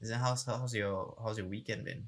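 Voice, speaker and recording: A man's voice: on the low side, steady tone, normal speed, vocal fry. A man with a Malay English accent, co-hosting a podcast in easygoing, self-conscious casual chat with frequent stutters. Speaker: podcast co-host.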